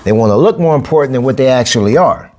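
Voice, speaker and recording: A man, hosting a solo podcast as a boxing and capoeira coach. He talks emphatically, repeating phrases and jumping up in pitch to drive points home. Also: animated, fast, a little mellow, a little hiss or hum. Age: 40s. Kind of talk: casual solo podcast monologue.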